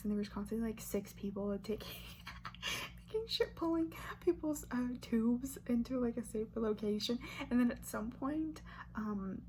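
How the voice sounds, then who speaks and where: A woman in her twenties from Brazil, whispering an ASMR question-and-answer chat close to the mic and softly repeating words over and over as triggers. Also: medium pitch. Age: 20s. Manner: chatty, filler-heavy, with light laughs. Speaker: ASMR creator. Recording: noisy hum under voice.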